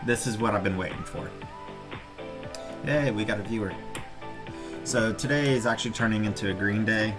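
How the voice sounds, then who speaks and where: A man's voice: fairly low pitch, moderate pace, pauses often, music in the background. A man hosting a casual livestream, rambling and talking half to himself. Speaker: livestream host.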